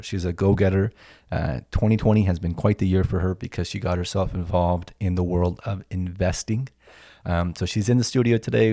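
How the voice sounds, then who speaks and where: A man hosting his podcast in a studio, talking in a relaxed, casual way with lots of "um" and "you know" and repeated words. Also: low-pitched. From Toronto area, Canada. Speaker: podcast host. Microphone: studio headphones and mic.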